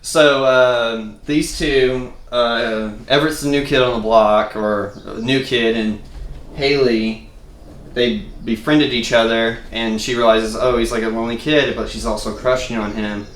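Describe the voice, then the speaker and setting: A man's voice: medium pitch, fairly even intonation, measured pace, fairly bright tone. A man casually explaining a scene as presenter of a table read, in a hesitant, rambling way. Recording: roomy echo with background noise.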